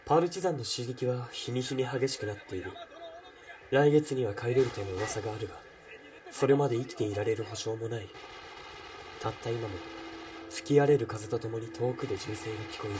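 An actor playing a doomed, tender young soldier, earnestly voicing a remorseful love letter in a radio drama. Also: male voice, mid-range voice, noisy background, lightly acted. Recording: distant voice under prominent music. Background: music.

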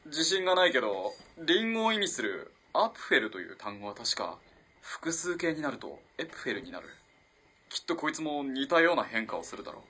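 Casting: male actor as lovestruck young student for a period radio drama; bright, slightly rough voice, earnest and halting. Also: a little high, sing-song, full character voice. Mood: earnest, reasoning. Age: young adult. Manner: earnest, halting, swinging between hushed and impassioned. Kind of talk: dramatic radio play acting.

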